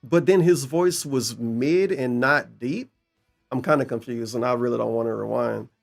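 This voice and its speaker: Sarcastic, gravelly man reacting on stream. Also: mid-range voice, moderate pace, faint music behind. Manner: incredulous, sarcastic, profane. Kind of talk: casual mocking reaction commentary.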